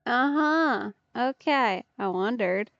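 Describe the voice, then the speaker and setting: A woman's voice: fairly high pitch, animated, slow pace, a bit bright, no room sound. A woman streamer giving casual game commentary in an understated, wry way. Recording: slightly dull mic, music behind. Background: game music.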